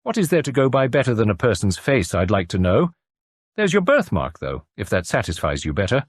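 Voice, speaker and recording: A man's voice: fairly low pitch, very animated, a little fast, no room sound, studio quality. A man voicing a kindly, good-tempered farm woman who chats in homely country speech. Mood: matter-of-fact. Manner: homely rural speech, chatty.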